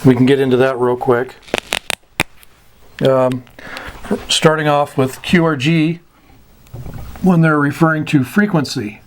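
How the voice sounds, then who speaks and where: An American man giving an informal instructional talk, plain-spoken and pausing between short phrases. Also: mid-range voice, steady tone, background noise. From United States. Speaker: amateur radio instructor. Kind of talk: informal slide lesson explaining radio slang.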